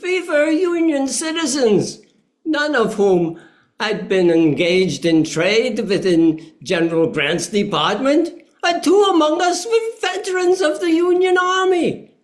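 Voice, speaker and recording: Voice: high voice, animated, a little slow. A performer playing an indignant, loyal Union merchant in a historical play reading, speaking in a formal, declamatory way. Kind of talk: play table reading, period speech.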